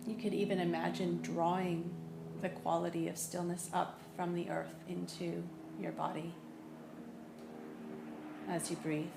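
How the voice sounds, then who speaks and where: A woman teaching a guided meditation, speaking in a gentle, unhurried way with long pauses. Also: fairly low pitch, level tone, vocal fry. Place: indoor room in a building. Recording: echoey room with faint noise.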